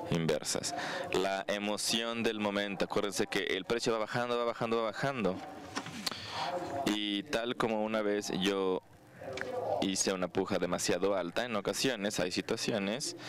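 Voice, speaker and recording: The deep voice of a man in a conference interpreting feed, other voices audible underneath.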